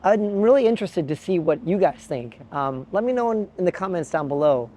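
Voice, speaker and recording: A man from the American Midwest talking thoughtfully to his handheld camera outdoors, pausing and restarting as he muses. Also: sits high, expressive, mellow. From Midwest United States. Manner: thoughtful and musing, with frequent pauses and restarts. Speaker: travel vlogger. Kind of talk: reflective vlog monologue to camera. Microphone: handheld pocket gimbal camera.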